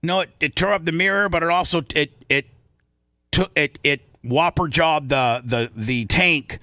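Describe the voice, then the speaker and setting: A man's voice: on the high side, normal speed, pushed and bright, no echo, studio quality. A man hosting a talk show, telling a story casually and animatedly, often repeating phrases for emphasis.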